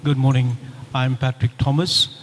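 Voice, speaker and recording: A man's voice: mid-range voice, level tone, normal speed. A man from South Africa, a pastor, giving a plain, matter-of-fact introduction on a conference panel. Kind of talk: brief self-introduction on a panel. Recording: long hall reverb with faint noise.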